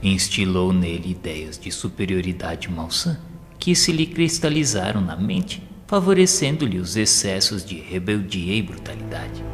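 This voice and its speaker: A man narrating an audio novel in a solemn, formal, measured, scripted dramatic style. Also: fairly low pitch. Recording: long reverb with faint music behind. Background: music.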